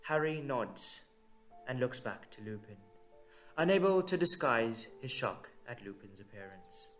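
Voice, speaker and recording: A man narrating a scripted audio drama in a measured, dramatic way with deliberate pauses. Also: mid-range voice, big pitch swings, faint music behind.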